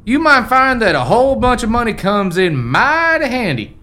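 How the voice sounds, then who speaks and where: An actor playing a folksy, forgetful old American rancher's ghost in a family radio play, with rustic old-timer phrasing. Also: male voice, extremely high pitch, sing-song, normal speed, pushed and bright, heavily acted. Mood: reassuring. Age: older.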